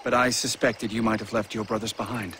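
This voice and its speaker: An actor playing a formal, authoritative British colonel. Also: male voice, on the low side, level tone, fairly quick, a bit bright, slight background noise, natural voice. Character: stern, courteous British army colonel.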